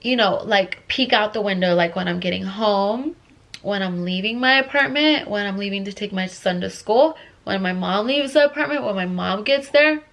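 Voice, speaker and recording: A young woman talks through a storytime with a stuffy, congested voice as she gets over a cold. She rambles and restarts constantly, piling on filler words. Her pitch sits in the middle of the range. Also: moderate pace, creaky, fairly bright tone. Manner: rambling, filler-heavy, restless. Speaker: vlogger. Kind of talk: casual storytime.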